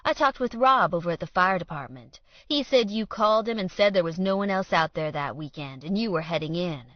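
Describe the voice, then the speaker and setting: A woman voicing a smug, spiteful man who needles his rival in loud, quick taunts. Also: on the low side, animated, no room sound, natural voice. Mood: taunting. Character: sneering jealous male councilman, smug and spiteful. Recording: dull lo-fi dry read.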